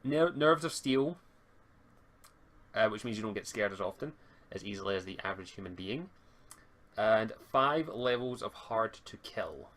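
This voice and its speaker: A man's voice: fairly low pitch, animated, normal speed, long pauses, a bit bright. A man acting as game master, explaining tabletop game rules over an online call, often stammering and restarting his words.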